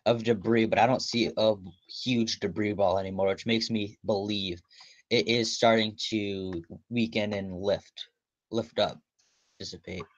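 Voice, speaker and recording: A man hosting a storm-chasing livestream, commenting on radar in a halting way with frequent restarts. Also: on the low side, steady tone, dry recording. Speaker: storm chaser livestream host. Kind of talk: live weather radar commentary. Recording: dull lo-fi dry audio.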